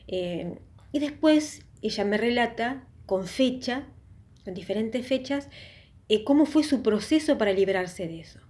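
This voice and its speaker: A woman with a mellow, warm voice speaks straight to her online viewers. She is earnest and reflective, easing into a spiritual talk at her own unhurried pace and pausing to think. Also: a little high. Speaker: YouTube channel host. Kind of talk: casual religious testimony talk.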